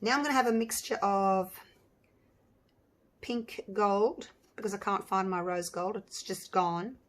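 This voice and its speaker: Slightly hoarse woman chatting while crafting. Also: mid-range voice, measured pace. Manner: chatty, thinking aloud with frequent ums and restarts. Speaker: resin artist and channel host. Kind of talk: casual crafting tutorial.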